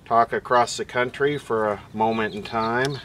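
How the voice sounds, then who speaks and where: A man's voice: medium pitch, steady tone, moderate pace, fairly bright tone, slight background noise. A man giving casual, unscripted hands-on commentary, rambling a little as he thinks aloud. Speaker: vlogger demonstrating vintage radio equipment.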